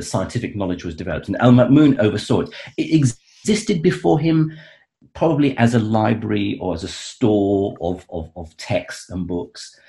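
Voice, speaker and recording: A man explains history as a guest expert on an interview show. He talks with keen enthusiasm, stumbling over restarts and filling pauses with um and uh. His voice sits on the low side. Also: gravelly, mellow. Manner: hesitant, many restarts and fillers, enthusiastic. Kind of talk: conversational explanation.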